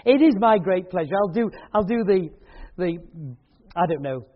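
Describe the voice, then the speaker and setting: A man's voice: high-pitched, expressive, moderate pace, muffled recording. A man hosting a church event, chatting and joking with the audience in a hall. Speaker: event host and speaker. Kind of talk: casual chat at a church event.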